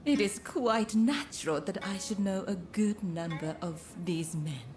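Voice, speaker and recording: A poised, coy woman of the pleasure quarter voiced by an actress in a game drama. She speaks slowly and suggestively, in measured phrases with knowing pauses. Soft music plays underneath. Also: medium pitch, lightly acted. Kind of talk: dramatic video game dialogue.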